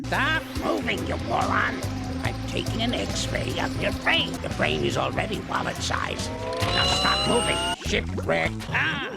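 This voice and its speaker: A man's voice: high voice, gravelly, exaggerated voice. An actor voicing a grumpy, insulting old male ape in a cartoon series, crotchety and scolding. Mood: irritated. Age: older. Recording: cartoon soundtrack with loud music.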